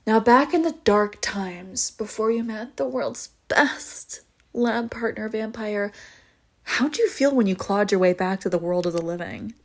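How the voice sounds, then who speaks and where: A woman's voice: medium pitch, unhurried. Wry, teasing young vampire woman, acted by a woman. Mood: curious, clinical. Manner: casual, wry, teasing, swearing freely. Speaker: audio roleplay voice actor. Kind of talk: intimate scripted audio roleplay, conversational.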